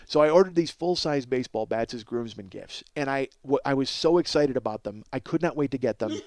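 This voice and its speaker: A middle-aged man hosting a comedy podcast, telling stories in a casual, profane, self-deprecating ramble and exaggerating excitably for comic effect. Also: mid-range voice, a little fast, no room sound. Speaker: podcast host, comedic storyteller.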